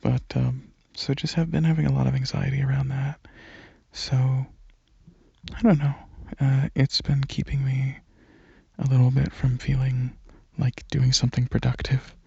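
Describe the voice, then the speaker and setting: A young man giving a soft-spoken, casual ASMR ramble, halting and hesitant, often slipping into breathy whispers. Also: mid-range voice, level tone, dry recording, lo-fi sound. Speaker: ASMR video creator and channel host.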